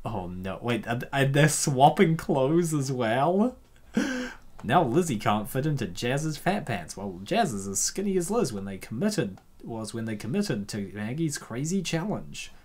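Male host, playful and theatrical, very animated pitch, casual commentary on comics. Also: a little slow.

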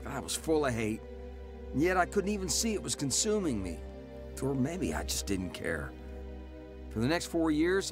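A man's voice: mid-range voice, close to an everyday voice. An actor playing a hardened male convict telling his life story in a scripted radio drama. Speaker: narrator and lead actor. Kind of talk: scripted first-person radio drama narration. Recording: music playing under the voice.

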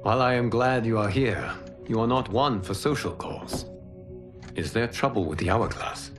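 An actor playing a calm, wise protector god in a video game cutscene, speaking in a measured, authoritative way. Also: male voice, medium pitch, over music, lightly acted. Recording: game audio with loud score.

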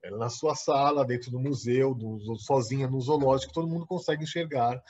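A Brazilian man giving an online lecture over slides, halting with restarts and "né?" tags. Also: medium pitch, darkish tone. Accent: Brazilian Portuguese. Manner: halting, many repeated words and restarts; explains with frequent "né?" tags. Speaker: guest lecturer. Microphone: video call. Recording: husky, dull lo-fi video call audio.

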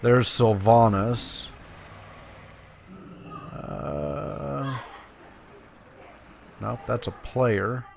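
A man's voice: medium pitch, drawn-out words, vocal fry, long pauses, slight background noise. A man giving casual, folksy gameplay commentary, talking to his viewers. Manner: folksy, conversational, addresses viewers. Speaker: let's play host. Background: video game sounds.

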